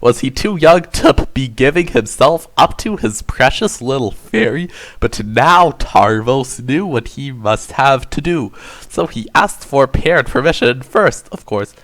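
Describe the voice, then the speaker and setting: A man's voice: medium pitch, expressive, unhurried, fairly bright tone. A man narrating an amateur dramatic reading of fan fiction, delivering overwrought prose in a mock-serious way.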